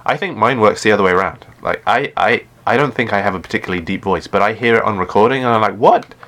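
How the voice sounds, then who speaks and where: A man with a deep voice chatting casually while playing a game live, often stumbling and repeating words. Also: quick pace, a bit bright. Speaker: gaming live streamer.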